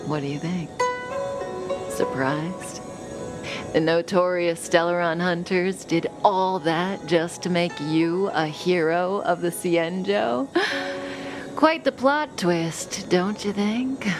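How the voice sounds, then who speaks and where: An actress playing a cool, enigmatic, teasing female schemer in a video game, with a languid, softly sardonic delivery and a velvety voice. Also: on the low side, takes it slowly. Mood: coolly teasing. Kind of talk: scripted video game character dialogue. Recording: studio voice over game music.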